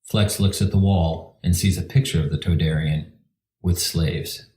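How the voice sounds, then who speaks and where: Very deep male narrator in a roomy space. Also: steady tone, a little slow, dark tone. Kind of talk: scripted narration reading scene directions. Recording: roomy echo.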